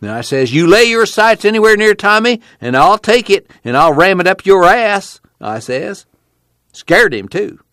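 A man voicing a cantankerous, boastful old grandfather who talks in loud, folksy dialect. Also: mid-range voice, very animated, takes it slowly, dry recording, studio quality, exaggerated voice.

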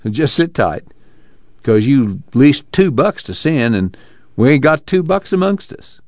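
A man's voice: mid-range voice, very animated, unhurried, a little mellow, no echo, lo-fi sound, voice pushed up. A man voicing a blunt, practical farm family patriarch with dry humor and a folksy rural American accent. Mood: wry.

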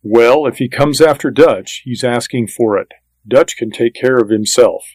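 A man's voice: mid-range voice, unhurried, darkish tone, dry recording, close to an everyday voice, rough recording. A man voicing a gunslinger's eager, gossipy sidekick in a western audiobook, talking in a folksy, informal way. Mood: eager, boastful.

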